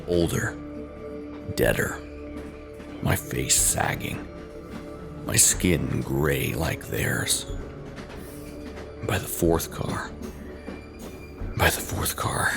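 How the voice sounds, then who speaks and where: Male horror storyteller, extremely low pitch, gravelly fry, ominous and dramatic, short halting phrases. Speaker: narrator of an audio horror tale. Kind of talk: scripted first-person horror narration.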